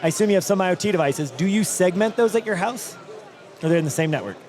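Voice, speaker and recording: A man hosting a hallway interview at a conference, joking, laughing and asking quick follow-up questions. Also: high-pitched, expressive, darkish tone. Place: outdoors at a conference venue.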